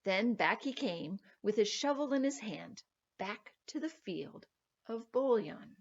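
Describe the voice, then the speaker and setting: A woman reading a children's story aloud in an expressive, dramatic storytelling manner. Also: medium pitch, slow pace, muffled recording. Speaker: storybook reader.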